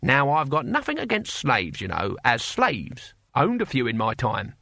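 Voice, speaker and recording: A man voicing a scrawny, gruff old barbarian with a blunt, sardonic, colloquial way of talking, in an audiobook. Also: mid-range voice, wildly swooping pitch, measured pace, bright, forceful tone, no room sound, studio quality, full character voice. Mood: grim, sly. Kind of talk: audiobook character dialogue.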